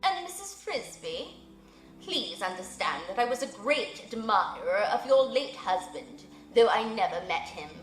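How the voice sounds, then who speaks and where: A woman's voice: fairly high pitch, very animated, roomy sound, faint music behind, poor audio. Woman doing a grave old male owl. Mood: solemn. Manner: slow, measured, formal.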